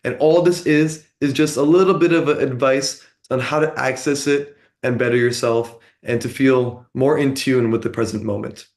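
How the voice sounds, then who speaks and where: A young man from San Diego hosts an online meditation class over a video call. He talks in a relaxed, friendly way and fills his pauses with uh and um. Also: medium pitch. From San Diego, California. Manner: relaxed, friendly, with many fillers like uh and um. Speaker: host of an online meditation program. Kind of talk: casual spoken introduction to a meditation session.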